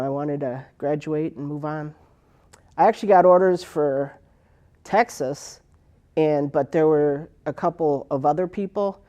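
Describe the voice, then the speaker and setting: Older woman, military veteran, warm low-key tone, rambling oral-history telling. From United States. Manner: rambling, with many fillers and restarts. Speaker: Air Force veteran interviewee. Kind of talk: personal oral history interview.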